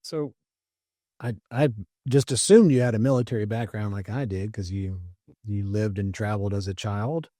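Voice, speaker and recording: A man's voice: on the low side, steady tone, normal speed, mellow, no room sound, studio quality. A man in his fifties hosting a podcast, introducing his guest in a friendly, filler-heavy way. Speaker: podcast host. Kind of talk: casual interview introduction.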